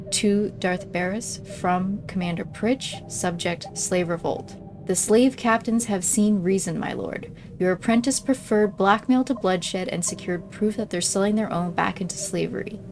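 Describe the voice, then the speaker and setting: A woman's voice: mid-range voice, darkish tone. A woman reading messages from a video game aloud, as the creator of a gameplay video.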